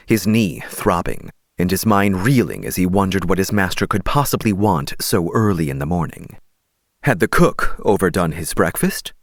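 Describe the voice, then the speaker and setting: A man narrating an audiobook as scripted dramatic storytelling, measured and expressive. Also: fairly low pitch, dry recording, studio quality.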